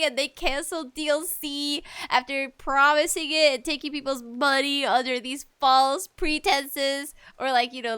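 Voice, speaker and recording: Female podcast host, high-pitched and bright; casual, opinionated gaming rants, animated and giggly. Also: no room sound. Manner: animated ranting with frequent giggles.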